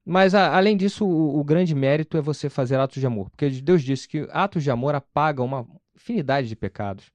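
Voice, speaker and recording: A man's voice: a little high, expressive, fairly quick, hardly any pauses, a little mellow, no room sound. Brazilian man explaining things emphatically. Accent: Brazilian, Carioca. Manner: emphatic, explanatory, asks and answers own questions. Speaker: interview guest. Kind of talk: conversational testimony about personal faith.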